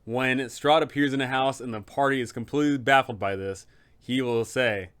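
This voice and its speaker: Guy hosting a dnd style tips video, medium pitch voice, informal but emphatic, explains stuff with opinions. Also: steady tone, normal speed, a bit bright, clean tone. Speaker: tabletop game advice host. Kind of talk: informal explanatory guide for game masters.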